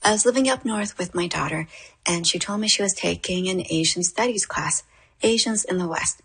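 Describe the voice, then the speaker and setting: A woman's voice: lowish voice, moderate pace, a bit bright, slightly rough. A middle-aged woman playwright, born in Korea and raised in Toronto, answering an interview question in an earnest, thoughtful way. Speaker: playwright guest.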